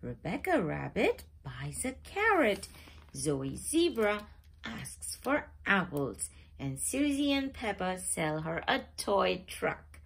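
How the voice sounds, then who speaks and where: A woman reading a children's picture book aloud in a slow, expressive storybook manner. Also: medium pitch, vocal fry, slightly rough. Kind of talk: read-aloud narration.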